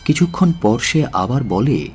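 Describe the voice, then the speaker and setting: A man narrating a literary audio story in a measured, dramatic style. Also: mid-range voice, very animated, a little mellow, husky.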